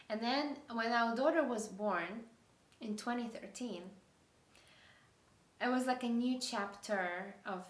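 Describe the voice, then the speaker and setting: A woman's voice: medium pitch, a bit bright, reverberant. A middle-aged woman from Russia, speaking, tells a personal story in a reflective, earnest way, with many fillers and restarts. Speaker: guest sharing her story.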